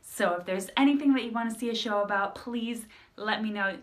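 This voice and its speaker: A woman's voice: medium pitch, fairly quick. A woman hosting her own live improv comedy stream, greeting viewers with upbeat energy and asking for suggestions. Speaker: improv comedy show host.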